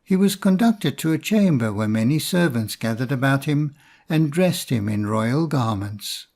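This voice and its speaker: Slow-paced man narrating a fairy tale. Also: medium pitch, expressive, gravelly, a little mellow. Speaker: storybook narrator.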